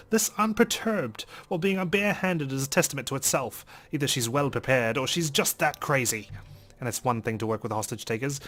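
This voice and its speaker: A man playing and reading a visual novel aloud in a dramatic, expressive way as a let's player. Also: medium pitch. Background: game music.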